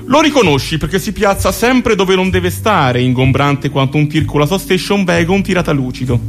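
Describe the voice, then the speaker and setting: A male storyteller in a comic radio play. His voice is on the high side, emphatic and mock-exasperated. Soft music runs behind him. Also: expressive, moderate pace. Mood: mock-ominous. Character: exasperated male storyteller describing maddening slow drivers. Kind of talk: scripted comic radio theatre monologue.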